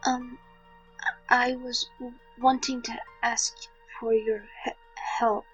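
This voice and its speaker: A woman's voice: fairly high pitch, level tone, takes it slowly, husky, highs cut off. A woman playing a timid, earnest young princess with a hesitant, stammering manner in an audio drama. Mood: nervous, earnest. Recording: music behind the voice.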